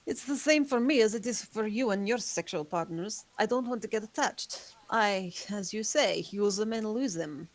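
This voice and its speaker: A woman's voice: medium pitch, expressive, moderate pace, few pauses, lightly acted. A woman reading as a remorseful ageless vampire. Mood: dry. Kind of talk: table read.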